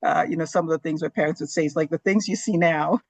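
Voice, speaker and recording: A woman's voice: sits low, steady tone, fast, vocal fry, no room sound. A woman in her forties from Zambia, an earnest and reflective interview guest who talks with many fillers. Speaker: guest, gastroenterologist. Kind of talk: casual interview talk.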